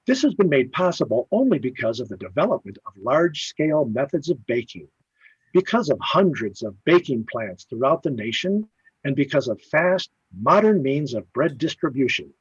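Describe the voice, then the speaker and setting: Old timey radio announcer guy, really animated n emphatic, bit raspy, dull muffled radio sound. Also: mid-range voice, dry recording. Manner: emphatic, polished salesmanship. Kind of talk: 1950s radio announcing and ad reading.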